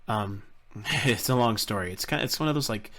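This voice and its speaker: A man's voice: lowish voice, normal speed, a bit bright, lightly acted. A man playing a forgetful, scatterbrained robot in an improvised Minecraft roleplay, hesitant and muttering. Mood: rambling, friendly. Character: bumbling, forgetful, chatty robot.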